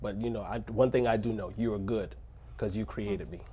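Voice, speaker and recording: A man who grew up in a rough neighborhood, speaking as a pastor in a casual interview, earnest and preacherly, breaking into laughs and jokes. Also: fairly low pitch, steady tone, fairly quick, mellow. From Little Haiti, Miami.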